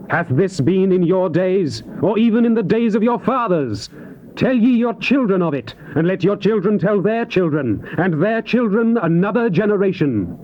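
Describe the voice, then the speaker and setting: An actor playing a fervent Old Testament prophet in a dramatized scripture recording, declaiming solemnly with drawn-out emphasis. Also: male voice, fairly high pitch. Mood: solemn, commanding. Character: fervent male Old Testament prophet crying lament and warning. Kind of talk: dramatized scripture recitation, classical stage declamation. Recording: dull hissy old cassette tape recording.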